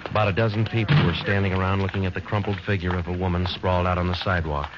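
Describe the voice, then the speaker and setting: An actor playing a police sergeant who narrates a 1940s radio crime drama in a clipped, flat, matter-of-fact manner. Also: male voice, sits low, racing, background noise, lightly acted. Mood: terse. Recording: dull lo-fi 1940s radio broadcast.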